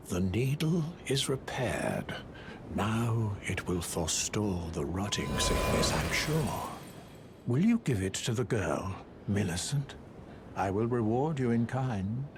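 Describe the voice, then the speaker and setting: An actor playing an eccentric, shrewd scholarly sage in a video game, musing in theatrical, drawn-out phrases. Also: male voice, lowish voice, animated, noisy background. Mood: conspiratorial, scornful.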